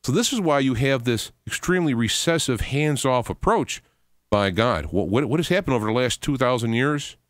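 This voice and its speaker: A man hosting talk radio, speaking informally and earnestly in a halting monologue, often repeating and restarting his words. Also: mid-range voice, lively intonation, dry recording, clean studio sound. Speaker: radio show host.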